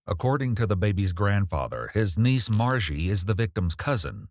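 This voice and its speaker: A man giving scripted narration for a crime documentary in an even, measured way. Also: fairly low pitch.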